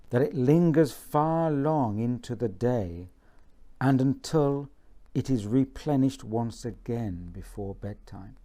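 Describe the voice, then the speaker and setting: A man reading from his own book in a measured bedtime-story style, deliberate and pausing between phrases. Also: mid-range voice, warm tone.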